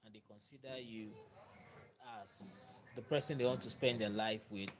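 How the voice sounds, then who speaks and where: A man's voice: lowish voice, moderate pace, background noise. A Nigerian man whose first language is Yoruba, hosting a live stream in a casual, conversational way and reading out viewer comments. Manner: conversational, warm thanks to viewers, laughs easily. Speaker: livestream host.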